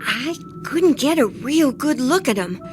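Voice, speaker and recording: A woman's voice: sits high, expressive, a little slow. A woman playing a stubborn, plain-spoken old country wife in an audio drama, wry and defiant, with music behind her. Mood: wry, steady.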